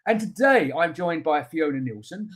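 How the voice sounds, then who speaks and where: A man's voice: a little high, animated, normal speed, a bit bright. A man hosting a live webinar, greeting viewers and introducing his guest in a chatty, enthusiastic way with frequent fillers. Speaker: webinar host.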